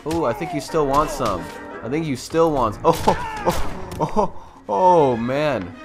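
Slow-paced playful man commentating over game music. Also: fairly high pitch, expressive. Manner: playful, slangy, swearing, exclaiming. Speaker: gamer doing a video game walkthrough. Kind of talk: casual gameplay commentary. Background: game music and vehicle sounds.

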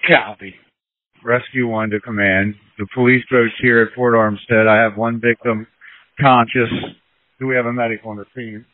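A male fire rescue responder giving deliberate, emphatic reports over an emergency two-way radio. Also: medium pitch, fairly even intonation, no echo. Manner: deliberate, repeats key warnings. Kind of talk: emergency radio dispatch traffic. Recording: narrow tinny two-way radio transmission.

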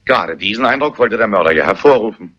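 An actor playing a gruff, authoritative, businesslike police inspector in a crime film. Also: male voice, on the low side, animated, quick pace, fairly bright tone, slight background noise. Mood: brisk, insistent. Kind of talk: German dubbed crime film dialogue. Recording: dull, muffled old film soundtrack.

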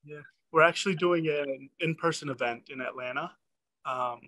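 A man's voice: fairly high pitch, normal speed, pushed and bright, no room sound. A man hosting a webinar, chatting casually with brief friendly replies on a video call. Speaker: webinar host. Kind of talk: casual chat.

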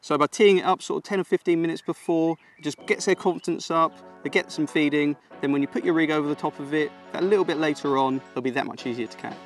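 A young man coaching listeners through an angling method in a relaxed, explanatory way, speaking partly as a voice-over. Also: on the high side, fast, few pauses, faint music behind. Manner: relaxed explanatory, practical tips. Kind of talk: instructional voice-over and commentary. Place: outdoors at a lake.

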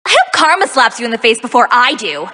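A woman's voice: fairly high pitch, sing-song, quick pace, bright, forceful tone, big-room sound, exaggerated voice. A woman voicing a young magical-girl archer heroine for a video game, cocky, peppy and teasing, with sassy, theatrical delivery. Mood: cocky, mocking. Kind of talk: video game character voice lines.

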